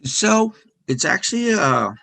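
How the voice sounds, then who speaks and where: A middle-aged man speaking as a podcast guest, talking casually with many fillers, restarts and soft laughs. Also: high-pitched, sing-song, unhurried, gravelly, no echo. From United States. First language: English. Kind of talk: casual interview conversation.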